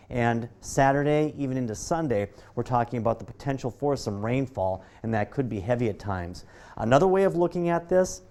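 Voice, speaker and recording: A man's voice: medium pitch, dark tone. American man giving a TV weather forecast. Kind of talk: live broadcast weather forecast, conversational.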